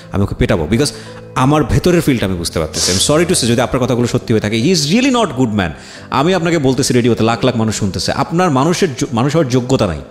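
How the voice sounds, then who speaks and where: A man with a Bangladeshi accent hosting a radio interview, asking probing questions and commenting, mixing English words into his speech. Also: mid-range voice. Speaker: radio show host interviewing a guest. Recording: roomy echo with faint music behind.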